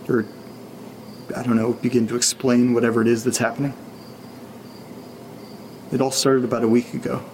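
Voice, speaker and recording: A man acting as a jittery guy keeping a spooky audio diary late at night. His voice is hushed and creaky, conversational and confessional. Faint noise and night insects sit behind him. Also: medium pitch, close to an everyday voice, poor audio. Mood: hesitant reading. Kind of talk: confessional audio-diary horror fiction, casual.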